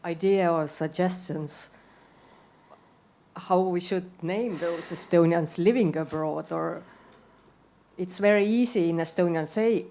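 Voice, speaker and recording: A woman's voice: on the low side, takes it slowly, pauses often, dark tone, a little hiss or hum. A woman in the audience asking a question, hesitant and searching for words.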